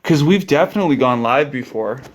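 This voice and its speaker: A man's voice: medium pitch, expressive, brisk. A man hosting a livestream, chatting casually in a rambling, easygoing way. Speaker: livestream host. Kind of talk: casual chat.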